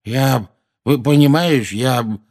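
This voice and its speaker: A man's voice: fairly high pitch, lively intonation, takes it slowly, pitched above the performer's own voice. A man voicing a shifty, slovenly petty crook in an audiobook, with colloquial, stammering speech. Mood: sheepish.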